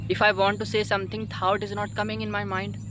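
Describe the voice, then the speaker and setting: A Hindi-speaking man with an Indian accent giving informal English-learning advice in a halting, repetitive, emphatic way, often tagging phrases for agreement. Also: high-pitched, completely flat delivery, noisy background. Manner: halting, repetitive, emphatic, frequent "you know" and "okay" tags. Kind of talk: informal spoken advice to viewers.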